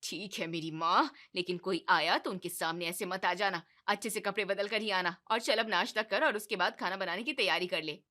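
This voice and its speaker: A woman voicing a strict middle-aged mother-in-law in a cartoon story, nagging in a loud, scolding way. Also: mid-range voice, fairly quick, few pauses, pitched below the performer's own voice, lightly acted. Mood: exasperated.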